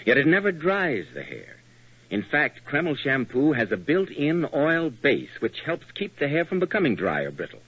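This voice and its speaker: A male announcer with a persuasive, polished pitchman's delivery in the 1940s radio style. His husky voice rises and falls with lively movement. It comes through a dull, lo-fi old broadcast. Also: normal speed.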